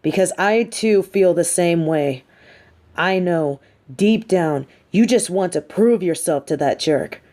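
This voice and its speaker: A low, velvety female supervillain, acted by a woman for an audio roleplay. She talks slowly and deliberately, condescending and theatrical, with cool menace and a manipulative streak of sympathy. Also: warm tone. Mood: cold persuasion. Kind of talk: scripted audio roleplay addressed to the listener.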